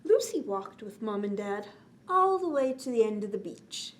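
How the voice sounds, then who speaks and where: A woman hosting a children's storytime, reading a bedtime story aloud in an expressive manner and talking gently to young listeners. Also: medium pitch, moderate pace. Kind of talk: read-aloud bedtime story with chatty asides. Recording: clearly noisy background.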